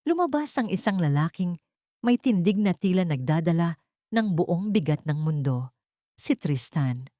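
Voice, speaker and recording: A woman's voice: lowish voice, darkish tone, no echo, clean studio sound. A woman narrating a scripted dramatic audio story in a solemn, emotive manner.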